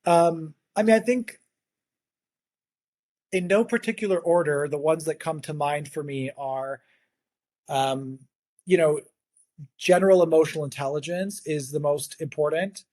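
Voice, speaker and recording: A man, a native English speaker, giving earnest explanatory interview answers as a guest, sometimes halting and repeating words. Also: fairly high pitch, darkish tone, no room sound. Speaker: guest, agritech consultancy founder.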